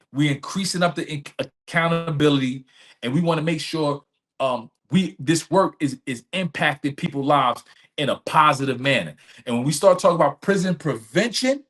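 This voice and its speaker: A man gives his views as a podcast guest in a somewhat high-pitched voice. He is emphatic and conversational, using blunt street-level phrasing and repeating key phrases for weight. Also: expressive, moderate pace, fairly bright tone. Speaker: podcast guest, restorative justice advocate. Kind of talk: conversational interview advocacy.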